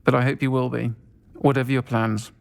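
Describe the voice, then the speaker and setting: Low, gravelly, kindly landlady. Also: level tone, fairly quick. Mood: caring. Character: kindly, composed woman pub landlady, protective friend. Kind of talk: video game dialogue acting.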